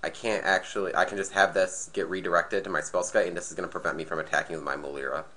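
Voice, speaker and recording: Guy w a really low voice, bit raspy and stuffy from a cold, talking through his card game plays, sharp edge to it. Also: fairly even intonation, fast. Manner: thinking aloud, hesitant restarts. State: sick, slightly raspy and nasal. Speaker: gameplay commentator. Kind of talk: casual card game play commentary.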